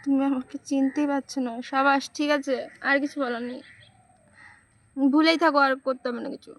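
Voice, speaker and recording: A woman's voice: high voice, fairly even intonation, a little fast, a bit bright. A woman making a prank phone call, sweet-talking and sulking as a pretend lover. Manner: teasing, sulky sweet-talk. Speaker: prank caller. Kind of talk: prank phone call, playing a flirty stranger.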